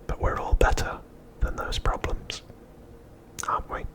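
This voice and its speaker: A man hosting ASMR in a breathy whisper, speaking in a gentle, soothing and reassuring manner. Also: unhurried. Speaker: ASMR channel host.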